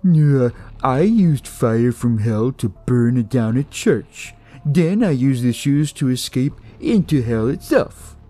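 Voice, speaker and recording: Whiny, high-pitched, spiteful devil-worshipping villain. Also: male voice, expressive, slow, dark tone, soft background music. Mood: boastful. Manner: whiny, boastful, taunting. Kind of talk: dramatized audio horror story.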